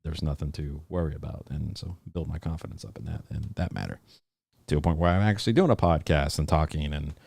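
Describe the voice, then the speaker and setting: Very deep gravelly man, dry close sound. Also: warm tone, dry recording. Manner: rambling, hesitant, many fillers and restarts. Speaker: podcast host. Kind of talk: casual livestream chat.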